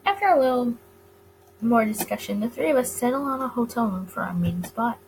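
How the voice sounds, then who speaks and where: Female game reader, slightly high voice, narrating a story with small stumbles, faint background noise. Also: expressive, fairly quick, a little mellow, rough recording. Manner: stumbles over words and rereads them. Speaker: let's-play reader of a visual novel. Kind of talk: reading a visual novel aloud, narration and character lines.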